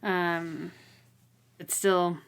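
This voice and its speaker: Female podcast co-host, lowish voice, informal and conversational book discussion. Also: steady tone, extremely slow, gravelly, clean tone.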